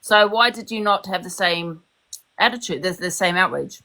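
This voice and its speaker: A woman's voice: on the low side, expressive, normal speed, pushed and bright. A woman chatting and debating casually as a panellist on a livestream. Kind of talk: casual live chat and debate.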